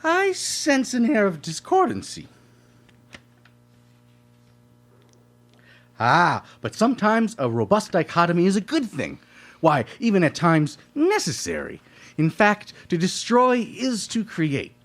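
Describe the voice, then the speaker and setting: A man's voice: high voice, wildly swooping pitch, measured pace, heavily acted. A man playing a pompous, effusive painter in a live radio play, with flowery, gushing speech. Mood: grandiloquent.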